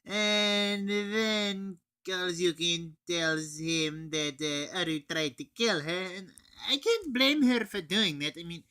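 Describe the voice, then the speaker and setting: A man's voice: high-pitched, takes it slowly, pushed and bright, clean tone. A teenage male anime reviewer giving an informal comic recap in a dry, slangy way. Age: teens.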